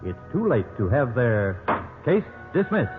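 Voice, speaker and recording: Vintage radio sound, thin and narrow, with prominent music behind a male announcer. He is grave and theatrical, with a widely swinging pitch. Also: sing-song, unhurried. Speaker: announcer and narrator. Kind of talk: dramatic 1950s radio announcing. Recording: narrow-band old radio broadcast. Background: loud music.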